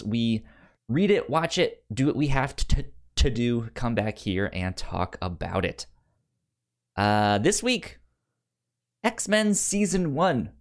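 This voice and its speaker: Male podcast co-host, mid-pitched, chatty and keen, halting delivery with repeated first sounds from a stutter. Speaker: podcast review show host. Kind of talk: casual podcast chat.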